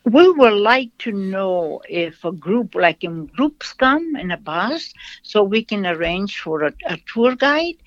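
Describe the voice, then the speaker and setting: A woman from Brazil with a Brazilian accent, a nun answering interview questions with stories, in a halting way that repeats phrases. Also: medium pitch, very animated, dry recording. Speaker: nun, shrine representative. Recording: dull lo-fi sound, dry.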